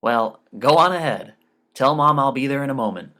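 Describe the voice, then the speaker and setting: A man's voice: medium pitch, expressive, normal speed, pushed and bright, pitched above the performer's own voice. Man acting out a jokey, affectionate middle-aged dad. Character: affectionate, jokey, forgetful middle-aged father, eager and earnest.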